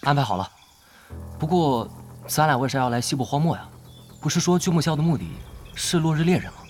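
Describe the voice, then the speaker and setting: A man's voice: a little high, lively intonation, brisk, a little mellow, close to an everyday voice. A man playing a puzzled, obedient young guild sidekick in a Mandarin audio drama. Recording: music behind the dialogue.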